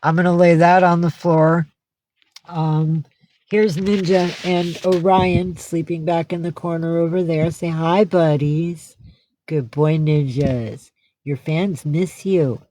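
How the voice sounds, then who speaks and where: A woman's voice: fairly low pitch, steady tone, slow pace, mellow, low-quality recording. A woman hosting a casual livestream from home, talking in a rambling, blunt and sweary way. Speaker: livestream host. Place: indoors at home with cats.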